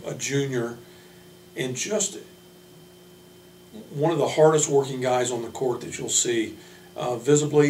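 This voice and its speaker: A man speaking as a basketball coach, giving informal player introductions in a measured, hesitant way with frequent pauses. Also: mid-range voice, a little mellow. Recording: roomy, distant mic, faint hum.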